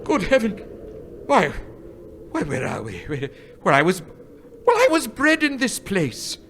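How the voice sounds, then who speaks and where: An old miser in a radio play, stammering and excitable, with a high voice that swings widely. He is nervous and sentimental, repeating himself as he goes. The live stage recording has clear background noise. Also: measured pace, full character voice. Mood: wistful, moved.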